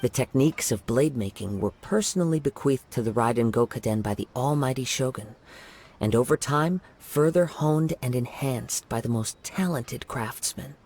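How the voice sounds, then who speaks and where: Calm courteous young samurai. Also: male voice, medium pitch, moderate pace, breathy edge. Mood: composed. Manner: calm, formal, soft-spoken. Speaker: wandering samurai. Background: game music.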